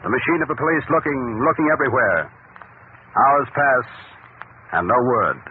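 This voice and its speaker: A man's voice: mid-range voice, big pitch swings, normal speed, close to an everyday voice. A man performing as a solemn, portentous narrator in an old-time radio mystery, with dramatic scripted delivery. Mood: grave, suspenseful. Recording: tinny, noisy vintage radio broadcast.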